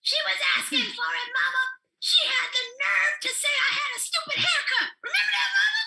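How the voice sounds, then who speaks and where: A woman voicing a spoiled talking pet dog that shouts, whines and giggles in an online improv scene. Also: very high-pitched, fairly quick, creaky, exaggerated voice. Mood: gleeful. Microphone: video call.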